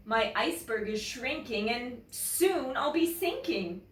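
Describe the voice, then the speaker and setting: Woman voicing a polite, slow-spoken polar bear, roomy echo. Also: a little high, expressive, distant mic, slight background noise, voice pushed up. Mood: apologetic, pleading. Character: polite, apologetic male polar bear needing a home. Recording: roomy echo, away from the mic.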